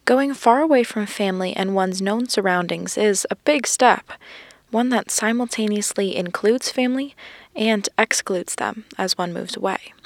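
A woman, a student reporter, narrating a scripted news feature in a measured delivery. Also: medium pitch, no room sound.

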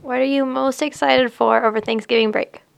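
A woman's voice: high voice, steady tone, normal speed, gravelly, smooth, dry recording. A woman reporter narrating a scripted segment and asking students the same question. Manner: plain, read-from-script delivery.

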